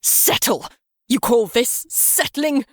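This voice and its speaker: A woman's voice: fairly high pitch, animated, takes it slowly, breathy edge, dry recording, studio quality, pitched above the performer's own voice, heavily acted. A woman voicing a fierce battle-sister commander, shaking with barely contained fury, in a sci-fi audiobook. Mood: incredulous fury.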